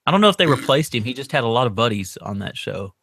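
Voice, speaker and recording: A man's voice: medium pitch, sing-song, quick pace, gravelly, fairly bright tone, no room sound, studio quality. A man co-hosting a podcast, chatting casually. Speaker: podcast co-host. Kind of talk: casual chat.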